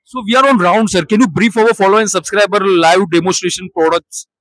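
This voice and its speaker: Male reporter, 30s, high raspy voice, courteous formal questioning at an expo booth. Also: brisk, a bit bright, low-quality recording. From India. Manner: formal, polite, addresses guest as sir. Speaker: trade show interviewer. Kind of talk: video interview questions. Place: busy exhibition hall stall.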